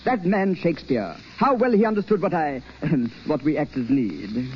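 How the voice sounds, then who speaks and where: A vain, pompous stage actor in an old radio drama. His rather high voice swoops theatrically as he repeats himself grandly, through a muffled recording. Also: big pitch swings, normal speed, slight background noise. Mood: self-satisfied, effusive. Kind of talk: 1930s radio acting.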